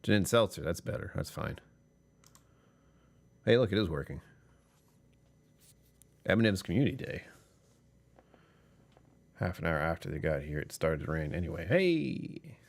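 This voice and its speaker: Tired male streamer, very low voice, chuckling, rambling casual chat. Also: sing-song, a little slow, pauses often. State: tired, voice lower than usual. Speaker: livestream host.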